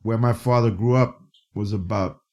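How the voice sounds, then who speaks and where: A man from New City, a guest and educator, telling stories in a casual interview, animated and often restarting his phrases. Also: fairly low pitch, normal speed. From New York City. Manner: animated, restarts phrases, frequent "you know".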